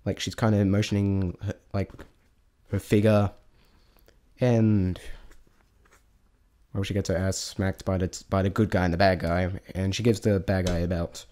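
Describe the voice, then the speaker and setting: A man's voice: deep voice, flat delivery, unhurried, pauses often, a little mellow. A man giving an unscripted spoken review in a rambling, hesitant way, often stammering and breaking off mid-thought. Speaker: amateur reviewer sharing opinions.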